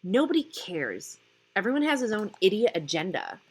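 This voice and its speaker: A woman's voice: medium pitch, sing-song, normal speed, darkish tone, husky. A woman voicing an anxious, insistent teenage girl in an audiobook, stressing her words indignantly.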